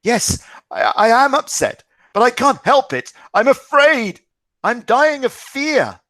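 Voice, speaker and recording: A man's voice: very high-pitched, very animated, slow, a bit bright, no echo, pitched above the performer's own voice, heavily acted. A man reading a novel aloud, voicing a frightened, desperate middle-aged father close to tears. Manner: pleading, near tears.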